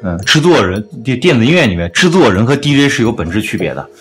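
A man's voice: mid-range voice, sing-song, fast, fairly bright tone. A man hosting a casual music podcast, talking through his opinions in an informal discussion.

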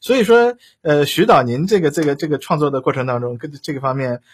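A man's voice: fairly high pitch, fast, slightly rough. A man hosting a casual live discussion, rambling and repeating phrases as he works toward his questions. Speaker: host.

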